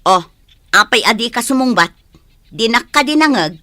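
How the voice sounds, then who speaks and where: A woman's voice: fairly high pitch, lively intonation, normal speed, sharp tone. An actress playing a stern, exasperated mother of many children in a radio drama, firm and scolding.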